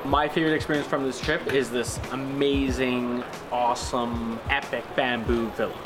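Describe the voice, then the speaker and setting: A man's voice: mid-range voice, level tone, normal speed. A young man in his twenties from Canada, an entrepreneur giving a thoughtful interview testimonial. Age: 20s. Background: music.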